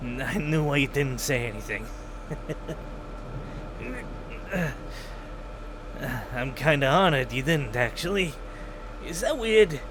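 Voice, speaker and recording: A man's voice: mid-range voice, animated, measured pace, noisy background, close to an everyday voice. A man playing a loyal, self-sacrificing criminal in an audio drama, earnest and plain-spoken. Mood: wistful. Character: loyal, self-sacrificing male career criminal, gently resigned. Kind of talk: audio roleplay drama acting.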